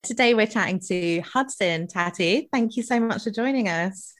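A woman's voice: mid-range voice, normal speed, a bit bright, soft background music. A Scottish woman co-hosting a casual podcast, teasing and laughing often. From Scotland. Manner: giggly, teasing, sweary. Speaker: podcast co-host. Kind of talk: casual chat.

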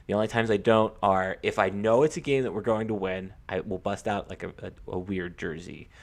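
A young American man co-hosting a podcast, talking casually about sports with a halting, repetitive storytelling manner. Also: on the low side. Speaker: podcast co-host.

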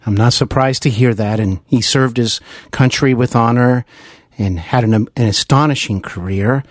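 A man's voice: medium pitch, normal speed, dry recording. An American man hosting a conversational interview in an earnest, admiring manner, close to the microphone. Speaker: interview host. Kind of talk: conversational radio-style interview.

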